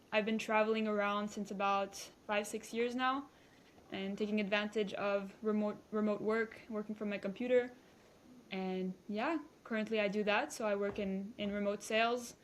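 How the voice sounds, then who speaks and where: A woman's voice: mid-range voice, little pitch movement, normal speed, slight background noise. A woman in her twenties from Belgium, a guest chatting casually with hesitant restarts and fillers. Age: 20s. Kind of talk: casual interview chat.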